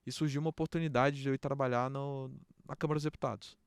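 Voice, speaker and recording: A man's voice: mid-range voice, fairly even intonation, quick pace, clear, resonant tone, no echo, studio quality. A middle-aged Brazilian man with a Brasília accent, talking casually as an interview guest, often adding little check-in tags. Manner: informal, peppered with check-in tags. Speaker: podcast guest, trade association president.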